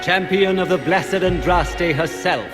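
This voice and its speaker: An actor playing a pompous court herald in a fantasy game, proclaiming titles in a grandiose, ceremonial manner. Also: male voice, high-pitched, unhurried. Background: music.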